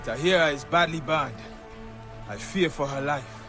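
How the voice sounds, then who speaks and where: A man's voice: a little high, normal speed, loud music behind the voice, lightly acted. An actor playing an anxious, devout male comrade, fearful and urgent, in a video game drama. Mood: anxious, relieved.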